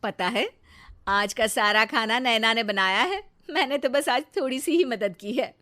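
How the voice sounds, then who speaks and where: Lady doing nice caring bhabhi in a cartoon story, friendly, encouraging, highish voice. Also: unhurried, sharp tone. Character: kindly, welcoming, helpful sister-in-law.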